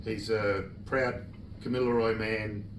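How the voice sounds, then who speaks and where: A man with a lowish voice talks about his book at a launch, relaxed and reflective. The sound is roomy and distant, and clear room noise runs underneath. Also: slow. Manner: relaxed, reflective and plain-spoken. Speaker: author. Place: bookshop event room. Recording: echoey room, distant mic.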